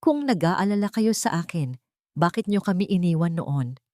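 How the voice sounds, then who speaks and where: A woman's voice: lowish voice, animated, normal speed, a little mellow, dry recording, clean studio sound, lightly acted. A woman voicing a poor young mother in a radio drama, questioning and emotional, suspicious and defiant. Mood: angry, anxious. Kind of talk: Filipino radio drama acting.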